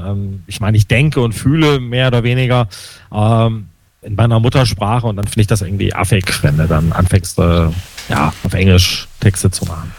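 A man's voice: lowish voice, a little mellow. A man in his fifties from Hessen, a musician guest answering interview questions hesitantly, with many fillers. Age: 50s.

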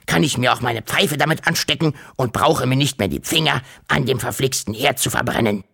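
A man's voice: medium pitch, a little fast, vocal fry, sharp tone, slightly rough, full character voice. A man voicing a grumpy, whiny, demanding uncle creature in a children's story, complaining and self-important. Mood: irritated, lecturing. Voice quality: grunting.

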